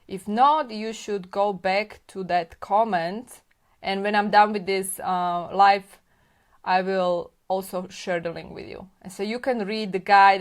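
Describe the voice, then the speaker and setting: A woman, an immigration consultant, hosting a live stream and answering viewer comments in an informal, chatty way, with frequent fillers and self-corrections. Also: mid-range voice.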